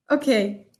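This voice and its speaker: A woman's voice: sits high, mellow. A woman from Germany, leading an online workshop session over a video call in a chatty, upbeat way with many ums. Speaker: workshop facilitator.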